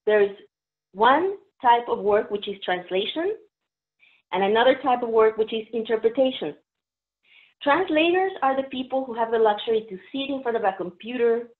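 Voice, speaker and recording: Woman from Mexico, Mexican Spanish accent, native Spanish speaker, slightly high voice; friendly trainer explaining interpreting over a tinny video call. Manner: friendly, explanatory, uses fillers. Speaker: interpreter presenter. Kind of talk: webinar presentation. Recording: phone-like narrow audio.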